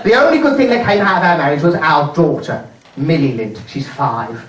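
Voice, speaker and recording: A man's voice: a little high, expressive, background noise, lightly acted. A man playing a cheeky middle-aged widowed nurse as a pantomime dame, doing stand-up comedy in a mock-mournful, saucy manner. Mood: saucy confiding. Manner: mock-mournful, saucy, delivering gags with deliberate pauses. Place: indoor charity show before a live audience. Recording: roomy live venue, distant microphone.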